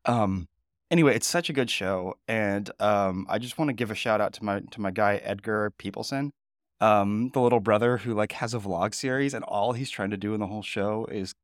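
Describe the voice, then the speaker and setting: Low-voiced man co-hosting a podcast. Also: a little fast, dry recording, studio quality. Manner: relaxed, rambling, chatty with fillers. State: voice low and groggy in the morning. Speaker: podcast co-host. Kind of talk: casual chat.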